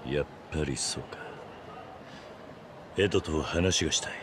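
A man voicing a stoic, gruff middle-aged ex-yakuza in a video game cutscene, speaking in terse, stern phrases. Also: rumbling low, moderate pace, noisy background. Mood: stern, guarded.

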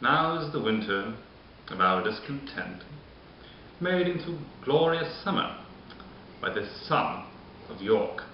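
A man acts out a bitter, deformed nobleman scheming his way through a Shakespearean stage monologue. He declaims slowly and deliberately, leaving dramatic pauses. The sound is muffled and very echoey, with a faint hiss. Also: medium pitch, expressive. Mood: grand, sardonic. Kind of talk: theatrical Shakespearean monologue recitation.

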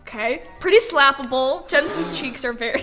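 A woman's voice: high-pitched, sharp tone, soft background music. A young woman vlogging a blindfold challenge in a living room, giggly and dramatic. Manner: giggly, dramatic, quick reactions. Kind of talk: excited casual chat. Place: bright living room indoors.

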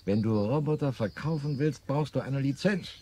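A man's voice: medium pitch, normal speed, a little hiss or hum, natural voice. A man playing a shrewd lawyer in a 1970s radio play. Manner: lively, reasoning aloud.

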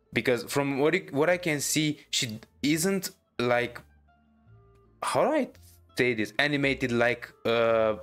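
A man reacts to a game in a casual, unscripted way. He gropes for words as he talks, with soft music playing behind him. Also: mid-range voice. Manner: hesitant, searching for words. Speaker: gamer reacting to a story quest. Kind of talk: casual gameplay commentary. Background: game music and dialogue.

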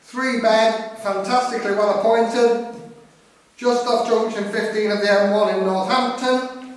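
A man's voice: sits high, steady tone, a little slow. A middle-aged man calling a live property auction in emphatic, repetitive patter, recorded at a distance in an echoey room. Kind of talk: live property auction calling with rapid repeated bid chant. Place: indoor auction room at a lectern. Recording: very echoey room, far from microphone.

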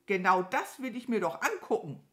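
A woman's voice: mid-range voice, very animated, moderate pace, fairly bright tone, pitched above the performer's own voice. A woman voicing a grumpy, contrary, boastful crow in a children's storybook, with a snappy, grumbling manner. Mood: boastful, scornful.

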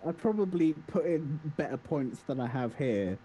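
A man's voice: fairly high pitch, expressive, moderate pace, crowd noise, poor audio. A young man co-hosting a casual podcast about games, with a dry, mock-indignant manner. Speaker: podcast co-host.

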